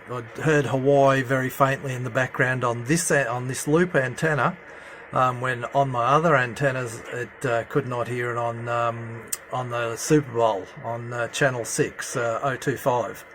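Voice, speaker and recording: A man with a mid-pitched voice presents an informal, hands-on demonstration. He speaks haltingly, pausing often as he works. The handheld indoor recording carries some faint noise. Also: fairly even intonation, fairly bright tone, rough recording. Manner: hesitant, halting delivery with many pauses. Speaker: amateur CB radio hobbyist presenter. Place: indoor room at home with radio gear.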